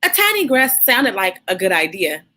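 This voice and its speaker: A woman hosting a children's read-aloud, speaking in an animated, encouraging way straight to her young listeners. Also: mid-range voice, moderate pace.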